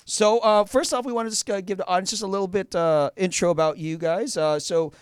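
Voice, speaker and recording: A man's voice: sits high, fairly even intonation, fairly quick, clear, resonant tone, dry recording. A man hosting a radio show in a studio, chatting casually, full of fillers and restarts. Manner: rambling, many fillers and restarts.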